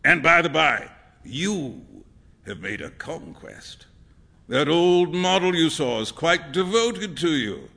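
A man's voice: on the high side, sing-song, slow, creaky, bright, forceful tone, full character voice. A man voicing a flamboyant, opinionated painter in a storybook, with theatrical, emphatic and pompous delivery. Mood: teasing, jovial. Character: flamboyant male painter, grandly opinionated, excitable and teasing. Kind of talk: acted storybook dialogue.

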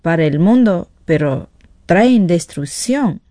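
A woman giving personal testimony in an interview, pausing between short phrases. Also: fairly low pitch, animated, dark tone, no echo, studio quality. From Ecuadorian Amazon.

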